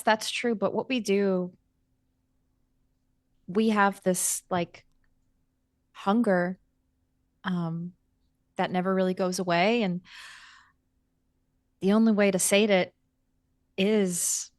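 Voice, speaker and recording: Female player, unhurried and tentative, casual improvised roleplay, dry recording. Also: medium pitch, dry recording. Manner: hesitant, tentative. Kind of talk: casual in-character improvised chat.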